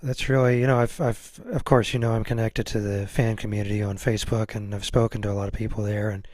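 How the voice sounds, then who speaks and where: Male podcast host, lowish voice, close dry sound, casual conversational interviewer. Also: flat delivery, fast talker, a little mellow, no echo.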